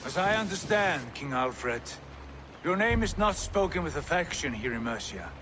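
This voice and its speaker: An actor playing a proud Viking jarl in a video game, speaking solemnly as if entranced by visions. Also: male voice, on the high side, big pitch swings, noisy background. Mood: commanding. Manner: slow, solemn, portentous. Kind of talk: dramatic video game acting.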